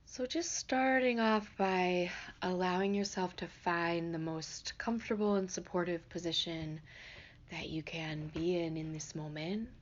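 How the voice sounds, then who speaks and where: A woman leading a guided meditation in a gentle, unhurried, soothing manner. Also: on the low side, fairly even intonation, muffled recording. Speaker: meditation teacher.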